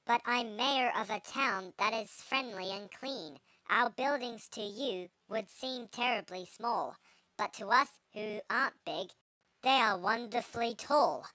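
A man's voice: very high-pitched, pushed and bright, pitched above the performer's own voice, exaggerated voice, poor audio. A man voicing a tiny, polite, grateful storybook mayor who speaks in earnest, formal rhyme. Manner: earnest, formal rhyming speech. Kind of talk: storybook character voice.

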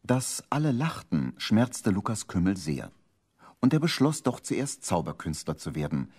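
A man's voice: lowish voice, very animated, unhurried, a little mellow. A man narrating a children's radio play as its scripted storyteller. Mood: storytelling.

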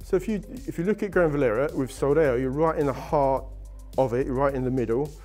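A man's voice: mid-range voice, brisk, darkish tone, soft background music. A middle-aged man answering interview questions about ski holidays, enthusiastic and salesy, with frequent restarts. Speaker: travel company guest. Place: indoor studio set with wooden walls.

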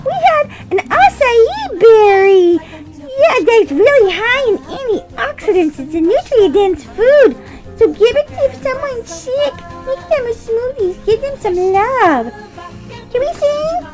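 A woman's voice: very high voice, big pitch swings, slow, loud music behind the voice, voice pushed up, heavily acted. A woman voicing a cheeky, eager little female monkey in a puppet show, chatty and blunt in a childlike way. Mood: cheerful.

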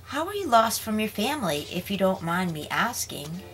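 A woman's voice: medium pitch, sing-song, moderate pace, faint music behind, close to an everyday voice. A woman voicing a curious, polite little girl who speaks eagerly.

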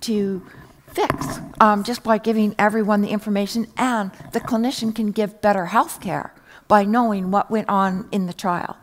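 A woman's voice: mid-range voice, fairly even intonation, moderate pace. Hesitant woman panelist on stage. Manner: hesitant, many fillers and restarts. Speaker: panelist presenting research. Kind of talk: panel discussion. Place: indoor conference stage. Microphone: headset mic.

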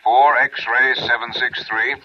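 An American actor playing a dry auto-theft police officer in a 1940s radio crime drama, businesslike and procedural. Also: male voice, mid-range voice, fairly even intonation, takes it slowly. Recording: muffled 1940s radio recording.

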